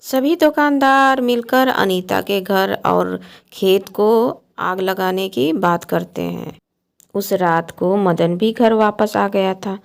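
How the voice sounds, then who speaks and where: A woman's voice: medium pitch, slow pace, gravelly. A woman narrating a scripted cartoon bedtime story as the storyteller.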